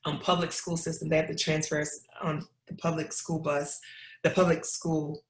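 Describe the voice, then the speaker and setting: A woman's voice: sits low, level tone, normal speed. Middle-aged woman, speaker at a talk, emphatic preacher-like delivery, roomy hall sound. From Knoxville, Tennessee. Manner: preacherly, repetitive emphatic storytelling. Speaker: guest speaker. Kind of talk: storytelling talk. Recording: roomy echo.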